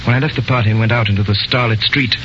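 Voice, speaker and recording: A man's voice: medium pitch, steady tone, rapid-fire. A man narrating and playing the lead in a radio drama, lyrical and wry. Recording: dull old radio recording with loud music.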